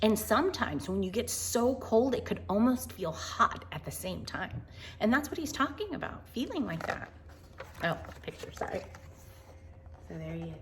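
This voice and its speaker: An American woman reading a storybook aloud to children in an informal, chatty and expressive way. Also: mid-range voice, moderate pace, slight background noise. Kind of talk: storybook read-aloud with casual asides to children.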